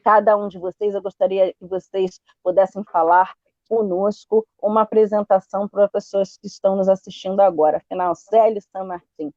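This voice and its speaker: A woman's voice: medium pitch, dry recording, highs cut off. A Brazilian woman hosting a live video chat, talking on with frequent fillers like "né" and "portanto". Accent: Brazilian Portuguese. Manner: repetitive, warm-up style, many fillers like "né" and "portanto". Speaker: podcast host.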